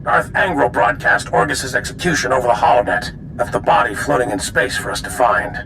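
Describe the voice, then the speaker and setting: Casting: male actor as grave sci-fi game general, very gravelly, terse and authoritative, over clear rumbling noise. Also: a little fast, lightly acted. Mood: somber. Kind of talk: video game cutscene acting.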